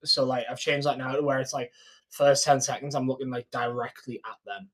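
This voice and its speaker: A man's voice: mid-range voice, steady tone, a little fast. Casual British man talking sales. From United Kingdom. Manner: informal, slangy, says "like" and "mate". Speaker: sales closer reviewing his old call. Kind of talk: casual commentary and sales talk.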